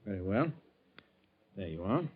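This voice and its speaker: An actor playing a nervous, scheming middle-aged embezzler who hesitates and stammers, in 1940s radio style. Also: male voice, deep voice, sing-song, normal speed. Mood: evasive. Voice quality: hesitant, stammering under pressure. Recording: tinny, band-limited 1940s radio recording.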